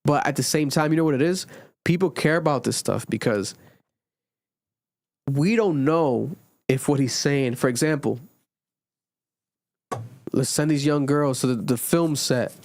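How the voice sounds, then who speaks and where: A young man hosts a podcast, talking loosely and animatedly, and his fairly high voice shoots up whenever he jokes. The recording is dry and close. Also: unhurried, long pauses, no echo. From Rhode Island, USA. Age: 20s. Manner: animated, swings high when joking. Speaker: podcast host. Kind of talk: casual podcast chat. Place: home recording during a blizzard.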